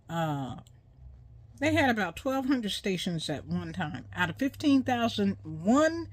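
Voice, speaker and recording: Older female host, 60s plus, unhurried halting delivery, close dry sound, informal educational talk. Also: fairly low pitch, no echo. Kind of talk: informal educational monologue on radio history.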